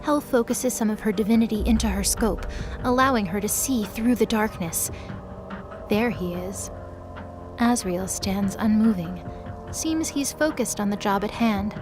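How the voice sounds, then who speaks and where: A woman's voice: medium pitch, a little slow, music in the background. A woman reading scripted, dramatic third-person narration for a mythology audio drama.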